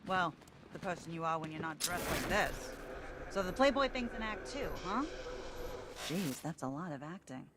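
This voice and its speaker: An actor playing a casual, marvelling police officer in a video game, chatty and a bit awed. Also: male voice, on the high side, expressive, normal speed, noisy background, natural voice. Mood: impressed.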